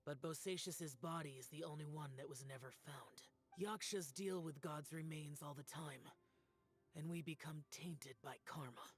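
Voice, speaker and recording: A man's voice: a little high, normal speed, natural voice. A man voicing a solemn, aloof young immortal demon-slayer in a fantasy game, speaking in a grave, guarded, restrained way. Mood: somber, brooding. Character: solemn, aloof young male immortal demon-slaying warrior burdened by karma. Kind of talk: scripted fantasy game acting. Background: background music.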